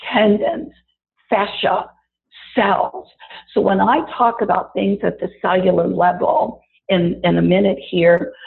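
Female wellness teacher, gravelly from recent illness, talks straight to listeners, thin phone-line sound. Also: mid-range voice. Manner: warm, chatty, addresses listeners directly. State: raspy voice after illness. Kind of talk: guided body-awareness talk. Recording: tinny phone-like audio.

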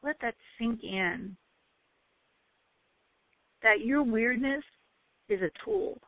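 A woman giving a motivational talk with a loud voice, stretching out key words for emphasis. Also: a little high, sing-song, gravelly. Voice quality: loud, bellowing voice. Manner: emphatic, drawn-out stresses. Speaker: motivational speaker. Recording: tinny phone-like line, dry.

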